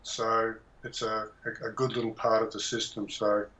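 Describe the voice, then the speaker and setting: A man's voice: fairly low pitch, level tone, normal speed, a bit bright. A middle-aged retired man giving a plain, modest testimonial with hesitant fillers. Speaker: course student. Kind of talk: testimonial on a call. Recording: dull lo-fi call audio.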